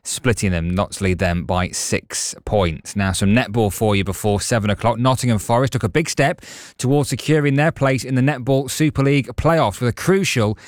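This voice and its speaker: A man presenting a scripted sports news bulletin at a brisk pace. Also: medium pitch, dry recording, clean studio sound.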